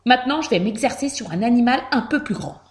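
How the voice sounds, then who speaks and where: A woman acts out a little storybook hamster boy with a lively, wide-ranging voice. He is earnest and a bit hesitant, trying hard to sound like a tough bandit. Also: on the high side, fast talker, natural voice. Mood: matter-of-fact.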